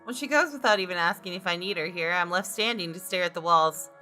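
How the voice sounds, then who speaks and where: A woman's voice: lowish voice, quick pace, pushed and bright, soft background music. A woman voicing a wry, observant female designer who narrates a visual novel in a dry, reflective manner. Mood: matter-of-fact.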